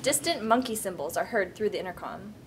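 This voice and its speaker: A woman's voice: medium pitch, animated, fairly quick. A woman narrator reading scripted stage directions at a table read, with faint room noise.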